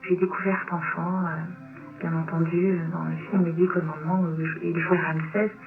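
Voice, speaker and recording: A woman writer answering interview questions in a thoughtful, halting way, pausing between phrases. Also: flat delivery, over music. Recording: tinny, noisy low-bitrate shortwave radio.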